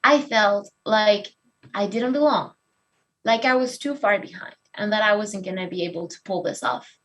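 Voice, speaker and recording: Woman, 30s, candid expressive storytelling, pokes fun at herself. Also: medium pitch, normal speed. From Venezuela. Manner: candid and expressive, self-deprecating. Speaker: speaker giving a talk. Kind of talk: personal story talk.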